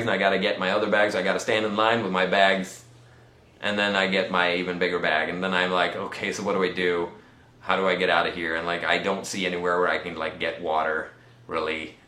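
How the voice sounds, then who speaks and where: A man with a Swedish accent telling a personal story in a casual, rambling vlog style, halting and pausing often as he goes. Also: low-pitched, level tone, pushed and bright, roomy sound. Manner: halting, conversational delivery with many pauses. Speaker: vlogger.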